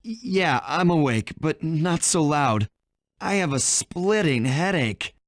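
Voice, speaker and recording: Cool wry raccoon thief. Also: male voice, fairly high pitch, lively intonation, slow, dry recording. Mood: groggy. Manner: easygoing, wry. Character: young adult male raccoon thief, cool, wry and confident. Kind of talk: video game character voice acting.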